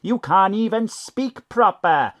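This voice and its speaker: An indignant female cat whisperer in an audio drama. She is snobbish, loyal and dramatic, with colloquial speech and a pitch that moves up and down a lot. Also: lively intonation, slow pace.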